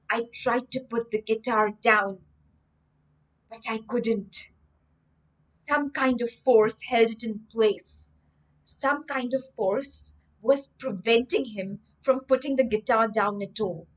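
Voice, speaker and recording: A woman's voice: on the high side, slow pace, poor audio. A young woman, reading a story aloud on a livestream and explaining vocabulary expressively, in a room at home. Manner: expressive, emphatic reading with frequent word explanations. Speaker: teacher, storyteller. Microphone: webcam framing, livestream.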